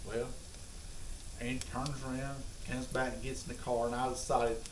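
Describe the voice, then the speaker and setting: A man's voice: mid-range voice, little pitch movement, moderate pace. A middle-aged man with a Southern US accent, telling comic anecdotes in a rambling, folksy, exaggerating way. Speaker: storyteller on a home cooking vlog. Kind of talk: comic anecdote, casual chat. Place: home kitchen with food sizzling. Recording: roomy, distant mic, noisy kitchen.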